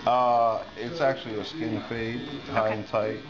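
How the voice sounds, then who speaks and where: A man's voice: medium pitch, fairly even intonation, unhurried, crowd noise. A man, a barber shop owner, talking casually and reflectively in an interview. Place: inside a busy barber shop. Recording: echoey, dull audio under loud music.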